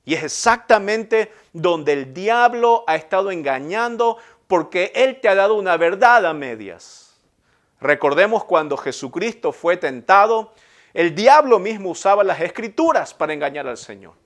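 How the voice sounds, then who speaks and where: Ecuadorian pastor, male, high-pitched, emphatic sermon delivery with repeated lines and questions. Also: animated, moderate pace, fairly bright tone. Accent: Ecuadorian Spanish, Guayaquil. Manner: emphatic, repetitive preaching with rhetorical questions.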